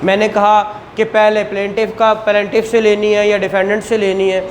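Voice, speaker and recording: Classroom law teacher with Pakistani Punjabi accent, informal and explanatory, mid-pitched, recorded with slight room noise. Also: monotone, moderate pace. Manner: conversational, explanatory, repeated check-ins and rhetorical questions. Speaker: law lecturer. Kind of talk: informal classroom lecture with questions to students.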